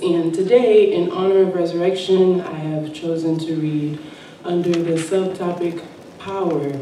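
A woman reading a spiritual text aloud at a church service, slow and measured. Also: on the low side, dark tone, slight background noise. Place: church sanctuary. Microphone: distant room mic. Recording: echoey sanctuary from far off.

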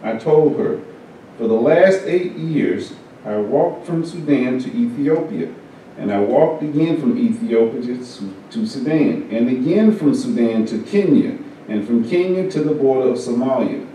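A man reading a first-person story aloud at a church service, in a measured, unhurried way. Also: medium pitch, animated, dark tone, a little hiss or hum. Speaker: service reader. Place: church meeting room. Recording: very echoey hall, mic far off.

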